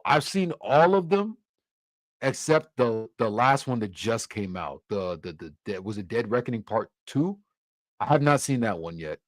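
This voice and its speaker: A man's voice: medium pitch, dry recording. A young man from Cameroon hosting a casual livestream, answering viewer comments in a loose, easygoing way with many restarts. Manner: laid-back, loose, filler-heavy with frequent restarts. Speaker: livestream host.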